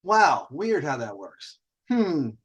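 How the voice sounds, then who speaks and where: A man's voice: fairly high pitch, sing-song, slow, slightly rough. A man hosting a casual storytelling session with dating advice, talking in a cocky, conversational way and swearing freely. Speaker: host telling personal stories and dating advice.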